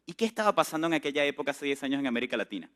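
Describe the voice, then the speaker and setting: A man's voice: medium pitch, animated, racing, a bit bright. A man, giving an entrepreneurship talk to a live audience in a chatty, storytelling way and putting questions to the listeners. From Venezuela. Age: 30s. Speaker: entrepreneur speaker.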